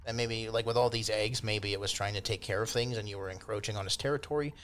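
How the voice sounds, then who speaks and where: A man's voice: fairly low pitch, fairly even intonation, fast, a bit bright. A man narrating casually as a game master, explaining things in an accommodating way over background noise. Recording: constant clear background noise.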